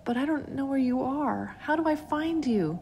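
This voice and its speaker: A woman voicing a worried young boy who speaks softly and unsurely. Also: sits high, lively intonation, normal speed, a little hiss or hum, voice pushed up. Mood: worried, hesitant. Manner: soft, unsure.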